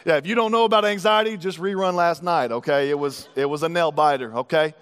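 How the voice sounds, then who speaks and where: Brief: male pastor, high tenor, emphatic preaching, reverberant church hall. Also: quick pace, clear, resonant tone. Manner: emphatic, rising to loud preaching. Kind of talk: sermon. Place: church auditorium.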